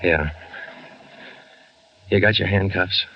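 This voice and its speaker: An actor playing a terse, dogged police detective who also narrates, in a 1940s radio drama. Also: male voice, low-pitched, slow, slight background noise. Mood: weary. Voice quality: clipped, matter-of-fact delivery. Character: terse male police sergeant, flat and dogged. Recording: muffled 1949 radio broadcast with music.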